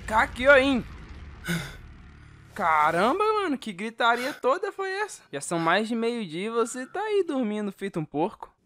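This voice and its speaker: A performer voicing a brash, goofy, excitable young man in a comic anime parody dub. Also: mid-range voice, moderate pace, a little hiss or hum. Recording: music under the voice.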